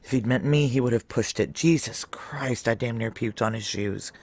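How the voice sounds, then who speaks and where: An actor playing a guarded, wry security chief in a noir audio drama, narrating and speaking in a clipped deadpan. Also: male voice, mid-range voice, normal speed, slight background noise, close to an everyday voice.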